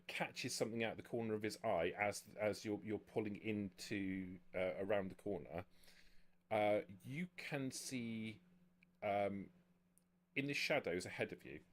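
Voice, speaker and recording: A man running a tabletop game as game master, improvising narration in a halting way with repeated words. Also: on the low side. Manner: halting, frequent stammered word repeats.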